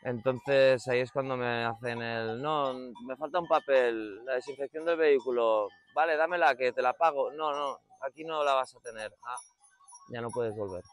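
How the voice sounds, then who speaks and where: Guy from barcelona, shepherd with a catalan-area spanish accent, medium pitched, chatting casually in an interview. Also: normal speed, soft background music. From Barcelona, Spain. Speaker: shepherd, livestock farmer. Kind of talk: casual interview answers, personal storytelling.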